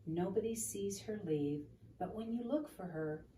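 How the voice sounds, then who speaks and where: Slow-paced woman reading a children's story, roomy sound. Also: mid-range voice. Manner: expressive, deliberate, asking the listener questions. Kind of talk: read-aloud storytelling for children. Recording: roomy, noisy, distant microphone.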